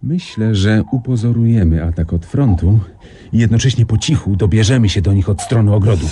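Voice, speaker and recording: An actor playing an undercover counterintelligence captain in a radio drama, calm and commanding with a dry irony. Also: male voice, lowish voice, fairly quick, a little hiss or hum, close to an everyday voice. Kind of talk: 1990s radio drama acting.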